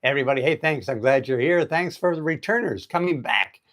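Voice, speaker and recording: A man's voice: on the high side, expressive, a little fast, fairly bright tone. A man in his sixties hosting a casual motivational livestream outdoors on an island, upbeat and chatty as he greets viewers. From United States. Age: 60s. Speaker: livestream host. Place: outdoors on an island in heavy rain. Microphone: phone held in vertical mode.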